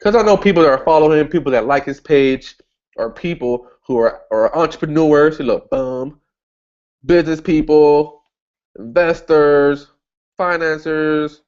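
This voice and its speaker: A man's voice: measured pace, darkish tone. Chatty man thinking aloud on a lo-fi recording. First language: English. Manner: chatty, thinks aloud, frequent restarts and "boom". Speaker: online marketing instructor. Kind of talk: casual screen-share tutorial.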